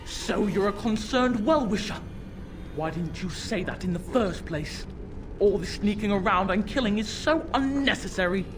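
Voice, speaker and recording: A woman's voice: mid-range voice, normal speed, noisy background, close to an everyday voice. A woman voicing a young boy possessed by a demon in a video game, cruel, mocking and imperious, with music behind. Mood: petulant, scornful.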